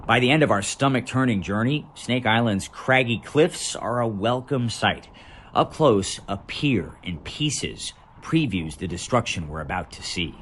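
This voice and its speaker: An American man, a news correspondent, reading a scripted report voice-over in a dramatic, measured way with deliberate pauses. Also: mid-range voice, expressive, a bit bright, a little hiss or hum.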